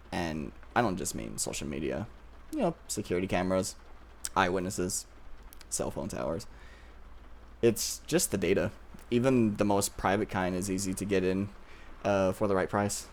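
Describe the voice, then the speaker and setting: Low-voiced, halting obsessive boyfriend played by a man. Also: animated, gravelly, a little hiss or hum. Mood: creepy, soothing. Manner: soft, halting, hesitant with nervous pauses. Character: obsessive yandere boyfriend, childhood friend, tender turning possessive. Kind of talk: intimate ASMR boyfriend roleplay.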